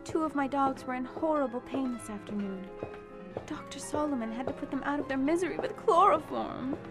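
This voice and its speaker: A woman's voice: sits high, fairly quick, over music. An actress playing a fretful, fearful young noblewoman, pleading and melodramatic, in a suspense audio drama. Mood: uneasy. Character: anxious, fearful young noblewoman, niece of a count.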